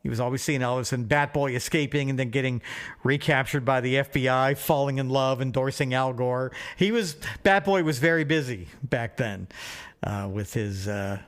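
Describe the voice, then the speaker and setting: A man's voice: mid-range voice, normal speed. A middle-aged man chatting casually as a podcast co-host and reading out silly items in an amused, irreverent way. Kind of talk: casual phone chat, reading tabloid items aloud for laughs.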